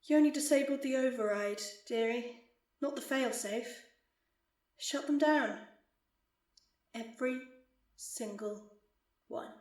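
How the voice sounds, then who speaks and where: A woman's voice: on the high side, slow pace, long pauses, darkish tone. A woman playing a smug, callous immortal villain in an audio drama, sarcastic, theatrical and drawling. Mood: smug, cold. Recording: echoey and distant, faint music behind.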